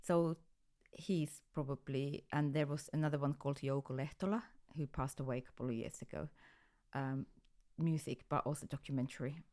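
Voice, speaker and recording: A woman from Finland in her forties with a Finnish accent, answering interview questions hesitantly, with many pauses and restarts. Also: deep voice, fairly even intonation, warm tone. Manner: hesitant, many ums and restarts, light laughs. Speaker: guest, music photographer.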